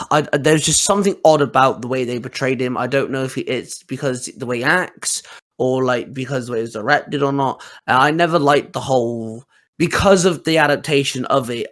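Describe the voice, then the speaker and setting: A man's voice: medium pitch, fairly bright tone. Man hosting a casual podcast. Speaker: podcast host. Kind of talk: casual podcast chat, questioning and commenting.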